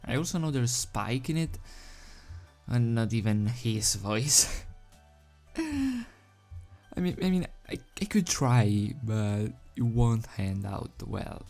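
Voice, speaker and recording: Casting: unhurried amateur host-reader, hesitant and self-effacing, medium pitch, music underneath. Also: very animated, a little mellow. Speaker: video host and fan fiction reader. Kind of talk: casual rambling chat, then storybook narration. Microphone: desk microphone without pop filter.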